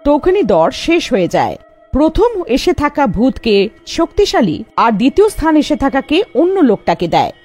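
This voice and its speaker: A woman's voice: high-pitched, very animated, normal speed, darkish tone, faint music behind. A woman giving a scripted narration of a horror fairy tale.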